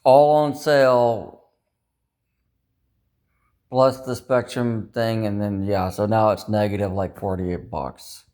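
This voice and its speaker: A man with a fairly low-pitched voice talks in a rambling, confessional manner. He sounds upset and dejected, venting his frustration at himself and swearing often as he goes. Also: steady tone, slow, long pauses, warm tone, clean tone. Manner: frustrated, self-critical venting with frequent swearing. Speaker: personal vlogger. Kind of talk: rambling confessional talk to camera.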